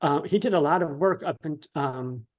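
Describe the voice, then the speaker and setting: A man answering interview questions casually, in a hesitant, halting and polite way. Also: a little high. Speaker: interview guest, dramatist and author.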